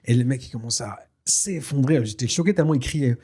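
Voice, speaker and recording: Fast-talking man telling his story. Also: mid-range voice, breathy edge. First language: Tashelhit. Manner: lively storyteller with many fillers and restarts. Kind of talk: casual spoken testimony.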